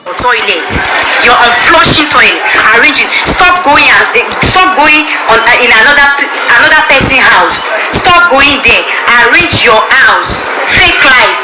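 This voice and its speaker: A woman shouting and scolding someone in a played clip, repeating herself. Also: a little high, expressive, a little slow, hardly any pauses, a loud crowd. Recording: echoey room with loud music.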